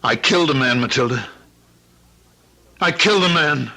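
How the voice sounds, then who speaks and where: A man's voice: mid-range voice, animated, moderate pace, gravelly, pushed and bright, exaggerated voice. An actor playing a desperate, fearful, tormented husband in an old-time radio drama, earnest and anguished in his delivery. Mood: frantic confession.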